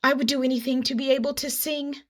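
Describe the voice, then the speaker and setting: A woman's voice: sits high, little pitch movement, quick pace, voice pushed up, close to an everyday voice. A woman voicing a small, wistful, earnest storybook armadillo with an eager, emphatic delivery. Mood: earnest pleading.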